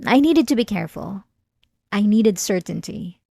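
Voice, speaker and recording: A woman playing an obsessive, manipulative therapy patient in an ASMR roleplay, speaking softly and politely with an unsettling, menacing calm. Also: mid-range voice, moderate pace, creaky, dry recording, clean studio sound. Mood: possessive, coy.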